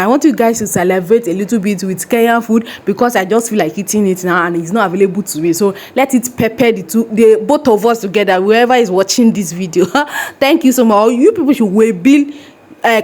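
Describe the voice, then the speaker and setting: A young woman with a Nigerian accent, telling stories to her vlog viewers in a lively way. Her voice sits a little high, and her phrasing is plainly Nigerian English. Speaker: vlogger. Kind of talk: casual reaction vlog.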